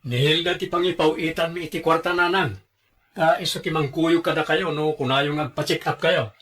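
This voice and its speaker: A man acts as a grown son with a fairly high male voice. He is responsible and firm, setting out his reasons plainly in radio drama style. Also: steady tone, normal speed, fairly bright tone. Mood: firm, reproving.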